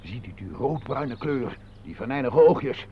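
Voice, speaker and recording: An actor playing a suspicious, trigger-happy hunter in a radio play, bossy and intense, speaking in clipped phrases. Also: male voice, mid-range voice, expressive, unhurried, a crowd in the background, lightly acted. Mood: tense, stalking. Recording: dull old radio recording.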